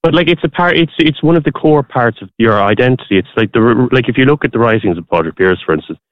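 Earnest Irish caller on a muffled line. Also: male voice, medium pitch, animated, quick pace, no echo. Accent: Irish, Waterford. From Ireland. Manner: earnest, pushes on through interruptions with many restarts. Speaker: radio caller. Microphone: phone line.

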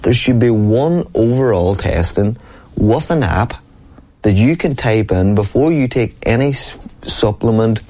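A man's voice: lowish voice, lively intonation, unhurried, warm tone. A man, a professional boxer talking earnestly and at length in an interview, often asking "you know what I mean". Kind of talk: casual interview talk.

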